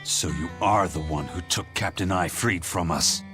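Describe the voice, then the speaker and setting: An actor playing a grim, stubborn pirate first mate in a video game, gruff and serious. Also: male voice, deep voice, normal speed, over music, close to an everyday voice. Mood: grave, controlled. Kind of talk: English video game voice acting.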